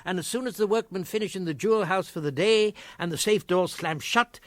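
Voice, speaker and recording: A man playing a brisk convict ringleader in a British radio comedy, giving methodical instructions to his gang. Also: sits high, no room sound, close to an everyday voice. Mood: conspiratorial.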